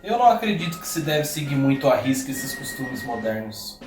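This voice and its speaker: A man's voice: medium pitch, sing-song, a little fast, music in the background, lightly acted. An actor with a Brazilian accent playing a pompous, boastful older Bulgarian major and father who talks loftily and dismissively. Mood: haughty. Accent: Brazilian Portuguese. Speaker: acting student. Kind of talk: mock-documentary stage acting. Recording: echoey room.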